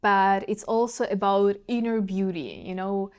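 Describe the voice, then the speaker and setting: A young woman with a Ukrainian accent, speaking English as a second language, muses aloud in a relaxed vlog. Her voice is smooth and clear at a middle pitch. She often stops to search for a word and starts the phrase again. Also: unhurried. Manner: searching for words, frequent restarts. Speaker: vlogger. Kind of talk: casual reflective monologue.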